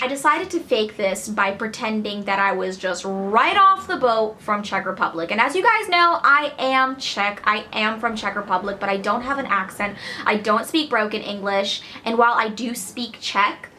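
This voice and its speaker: Bright-voiced chatty woman vlogger. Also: on the high side, a little hiss or hum. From Connecticut, USA. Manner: chatty, lively, acts out quoted voices. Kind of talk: casual storytelling to camera.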